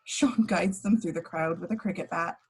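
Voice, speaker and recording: A woman's voice: on the low side, moderate pace, darkish tone, breathy edge. A woman giving scripted narration, reading out the action lines of a film screenplay at a table read.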